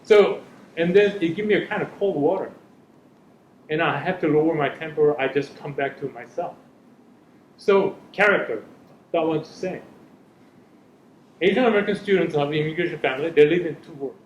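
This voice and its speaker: A man's voice: a little high, moderate pace, pauses often, a little hiss or hum. Middle-aged man, echoey room. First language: Korean. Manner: earnest, searching for words in a second language. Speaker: panelist, parent. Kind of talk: panel discussion. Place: school hall or room. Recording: echoey room, slightly dull sound.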